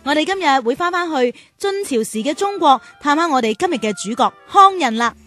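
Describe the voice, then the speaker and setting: High-voiced, kindly big-sister guide. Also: female voice, a little fast, faint music behind. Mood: explanatory. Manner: reassuring, explanatory, expressive. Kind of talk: children's radio drama acting.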